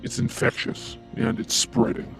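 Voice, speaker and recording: A man playing a grim, anonymous conspiracy blogger in an audio drama, speaking in an ominous, deliberate way with a gravelly, breathy voice. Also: close to an everyday voice, low-quality recording. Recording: music behind the voice.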